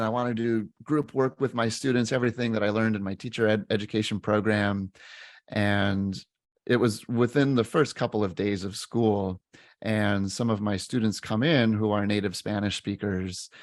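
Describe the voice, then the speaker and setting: A man in his thirties, a teacher and podcast co-host, telling a personal story in an earnest, halting way with frequent restarts. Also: fairly low pitch, no echo, clean studio sound. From United States. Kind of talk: reflective storytelling in casual chat.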